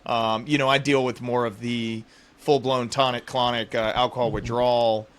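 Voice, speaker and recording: Guy hosting a medical podcast, clinician himself, chatty discussion, average pitch voice. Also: flat delivery, normal speed. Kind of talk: conversational medical interview.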